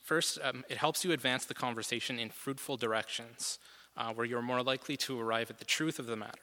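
A man presents a lesson from his notes, moving step by step and dropping in a few fillers. His voice is bright and forceful. It rings out across a reverberant hall. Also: medium pitch, a little fast. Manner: steady and methodical, some fillers. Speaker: guest presenter.

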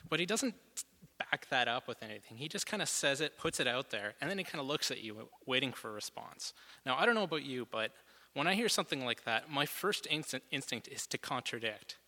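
A man presents a lesson from his notes, moving step by step and dropping in a few fillers. His voice is bright and forceful. It rings out across a reverberant hall. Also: mid-range voice, fairly quick. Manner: steady and methodical, some fillers. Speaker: guest presenter.

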